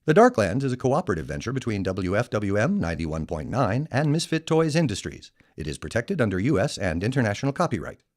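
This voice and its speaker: A male announcer with a fairly low voice reads scripted radio credits. His pitch moves in wide, animated swings through the formal announcements. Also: fast talker, few pauses.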